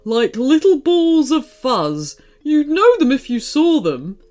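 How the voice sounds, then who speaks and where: A woman's voice: high-pitched, big pitch swings, slow pace, warm tone, pitched above the performer's own voice, full character voice. A woman voicing an indignant, emphatic talking raven in a children's storybook. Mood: indignant, warning. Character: talking raven, indignant, scolding wild bird.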